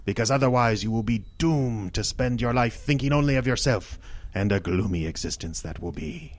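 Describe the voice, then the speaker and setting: A man's voice: medium pitch, animated, normal speed, close to an everyday voice. An actor playing a pushy, domineering merman suitor in a comic audio drama, with earnest, formal, old-fashioned diction. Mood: preachy, domineering.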